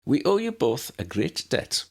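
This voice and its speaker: Give the storybook a stern, elderly head teacher woman, played by a man. The voice is pitched high and swoops as it pronounces. It is brisk, formal and dryly wry. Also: sing-song. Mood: formal, approving. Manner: proper, schoolmistressly, pronouncing.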